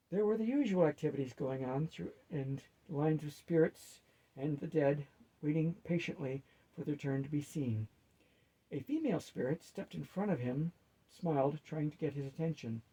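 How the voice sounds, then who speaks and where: A man's voice: on the high side, mellow, husky, low-quality recording. A man reading a fantasy story aloud as a narrator.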